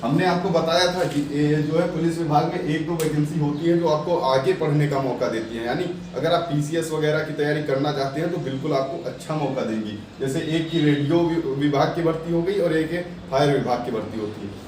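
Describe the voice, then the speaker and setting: A man's voice: fairly high pitch, fairly quick, hardly any pauses. A man giving exam aspirants an earnest update on applications and competition, coaxing them with rhetorical questions. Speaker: exam coaching presenter. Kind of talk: motivational exam update talk. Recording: echoey room from a distant mic with faint noise.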